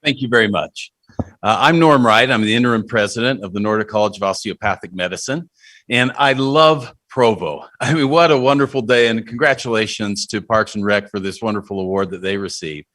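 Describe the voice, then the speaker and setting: A man's voice: on the low side, fairly quick, fairly bright tone, dry recording. An older American man speaking publicly as a college president, introducing people at a meeting. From Utah, United States.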